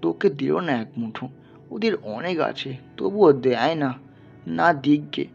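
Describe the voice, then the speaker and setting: A man acting a sorrowful, gravelly peasant father. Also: on the high side, animated, dull audio. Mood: anguished. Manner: halting, murmured, pleading. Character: poor, sorrowful peasant father, tender toward his bull. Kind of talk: dramatized literary audio story. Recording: band-limited, music underneath.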